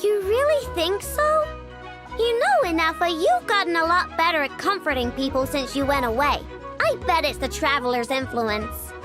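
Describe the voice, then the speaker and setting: A woman voicing a feisty young girl mechanic in a video game, informal and expressive. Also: extremely high pitch, moderate pace. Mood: weary, doubtful. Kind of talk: animated video game dialogue. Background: music.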